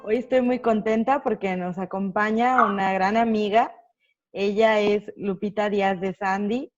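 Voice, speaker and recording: A woman's voice: mid-range voice, steady tone, measured pace, highs cut off, soft background music. A woman hosts a live-stream interview, introducing her guest in a friendly, upbeat way. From Mexico. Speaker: host.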